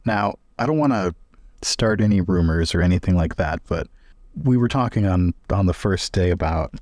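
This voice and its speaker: Casting: man as curious, probing scholar for tabletop fantasy game, deep natural voice, guarded and casual. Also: expressive, normal speed, darkish tone, no echo, studio quality. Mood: guarded, suspicious.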